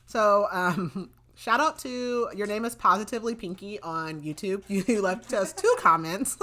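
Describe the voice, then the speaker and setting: A woman's voice: medium pitch, a little slow, sharp tone. A woman co-hosting a book podcast in casual chat, laughing often and trailing off mid-sentence. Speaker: podcast co-host.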